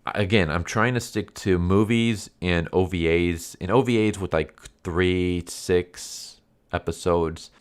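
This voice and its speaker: A man's voice: low-pitched, slow pace, smooth. A man with a stuffed-up, nasal voice from a head cold, giving a casual, rambling, unscripted review to his viewers. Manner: rambling, repeats and rephrases himself. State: sick with a stuffed nose and cough. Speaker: YouTube anime movie reviewer and channel host.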